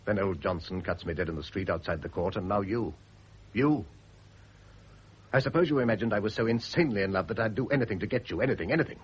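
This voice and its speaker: An actor playing a brooding, philosophical, self-absorbed husband in a vintage radio drama, speaking in a literary, declamatory way. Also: male voice, on the low side, lively intonation, fast, slightly rough. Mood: indignant. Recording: dull, hissy vintage radio recording.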